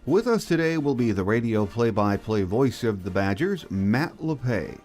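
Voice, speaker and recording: A man's voice: mid-range voice, sing-song, moderate pace, a little mellow, faint music behind. An American man hosting a sports podcast, delivering scripted commentary and introductions.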